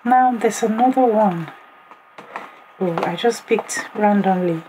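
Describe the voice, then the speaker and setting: A hoarse woman vlogger unboxing birthday gifts in a casual chat, exclaiming often and talking straight to her viewers. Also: mid-range voice, takes it slowly, warm tone. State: hoarse, voice partly lost. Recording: noisy home recording.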